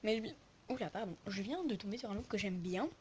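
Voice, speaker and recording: Young female video host, informal rambling commentary, mid-range voice, noisy low-quality recording. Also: lively intonation, brisk. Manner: rambling, informal, self-interrupting. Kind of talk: casual game lookbook commentary. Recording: poor sound, clear noise and clicks.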